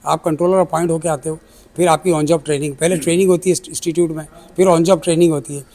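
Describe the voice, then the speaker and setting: A man's voice: fairly high pitch, steady tone, fairly quick, darkish tone. A man with a Pakistani accent answering interview questions as an aviation expert, explaining step by step and mixing in English technical terms. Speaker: air traffic control chief, aviation expert. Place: air traffic control centre.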